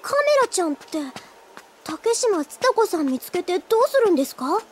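A woman's voice: very high voice, sing-song, brisk, slight background noise. An actress playing an earnest, easily flustered teenage schoolgirl in an anime audio drama, with a hesitant, stammering manner. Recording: music behind the voice. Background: background music.

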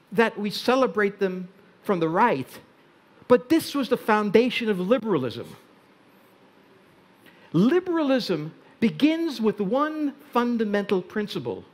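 An older Hungarian-born man giving a wry, deliberate talk on a stage in a large tent before an audience. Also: sits high. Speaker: speaker.

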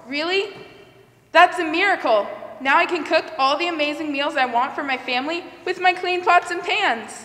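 Very high-voiced naive housewife, acted by a woman. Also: moderate pace, fairly bright tone. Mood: eager. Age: young adult. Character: naive, eager 1940s housewife in advert. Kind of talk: 1940s radio ad acting. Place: theater stage, standing mic. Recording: echoey hall, partly distant.

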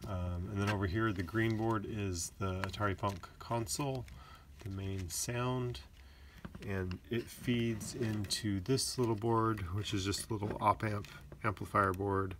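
A man giving a casual hands-on demo of a homemade gadget, explaining it in a matter-of-fact, slightly halting way. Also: lowish voice, fairly even intonation. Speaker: maker demonstrating a homemade gadget. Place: small indoor hobby workbench. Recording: loud background noise with clicks.

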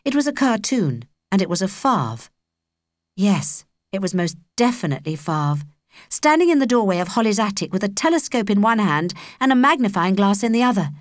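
A woman with a British accent reading a children's story as an expressive audiobook narrator. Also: mid-range voice, moderate pace, dry recording, clean studio sound.

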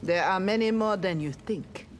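A woman's voice: mid-range voice, normal speed, natural voice. A woman with a Nigerian accent voicing a proud, righteous young ninja warrior in a fighting game, formal and defiant. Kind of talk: video game fight dialogue.

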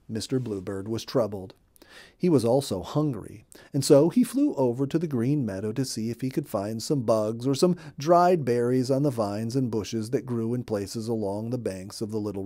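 A middle-aged man reads a children's storybook aloud. His voice is mellow and sits at a medium pitch. The delivery is expressive, with lively rises and falls. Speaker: storyteller, audiobook reader. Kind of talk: scripted children's story narration. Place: indoor room.

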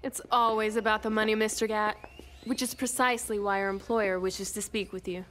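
An actress playing a cool, businesslike crime syndicate lieutenant in a video game cutscene, speaking formally and with control. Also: female voice, mid-range voice, little pitch movement, fairly quick, fairly bright tone, a little hiss or hum, natural voice. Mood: coolly superior.

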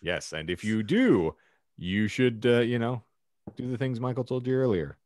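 A man's voice: medium pitch, animated, normal speed, a little mellow, no echo, studio quality. A man hosting a casual podcast with florid, tongue-in-cheek, wordy phrasing. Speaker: podcast host. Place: indoors over a video call.

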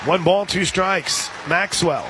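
An American man doing live radio play-by-play commentary of a softball game. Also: sits high, slow pace. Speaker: radio play-by-play sports announcer. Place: outdoor stadium broadcast position. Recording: crowd noise and music behind commentary. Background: stadium crowd and music.

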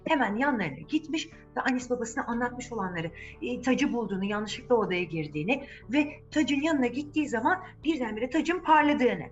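A woman's voice: a little high, animated, a little fast, few pauses, dull audio, soft background music. A woman telling a fairy tale to children, speaking to them directly and repeating words for emphasis. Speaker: children's storyteller, channel host.

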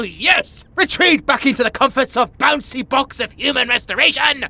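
Bratty haughty tiger boy, super pompous, swoops all over, bright and piercing, audio roleplay. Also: very high voice, creaky, exaggerated voice. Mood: gloating, triumphant. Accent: British. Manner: pompous, theatrical, mock-archaic outbursts. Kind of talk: comedic audio roleplay acting.

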